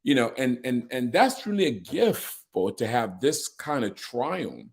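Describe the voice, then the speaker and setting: A man's voice: mid-range voice, big pitch swings, unhurried, vocal fry, slightly rough. A man hosting a podcast who talks casually, thinking aloud in a reflective way and laughing often. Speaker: podcast host, organization leader.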